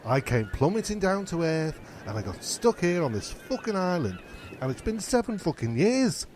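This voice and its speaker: A man's voice: high voice, sing-song, moderate pace, darkish tone, a little hiss or hum. A man playing a melodramatic, self-pitying, foul-mouthed castaway comedian in a comedy radio play. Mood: ranting, anguished. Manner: theatrical, exclaiming.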